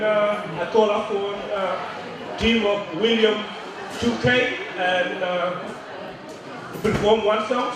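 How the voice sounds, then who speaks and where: A man presenting at a community event, announcing the next act with many pauses and fillers. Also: high-pitched. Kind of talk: stage announcement. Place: large echoey hall at a live event. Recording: echoey hall from far off, crowd noise.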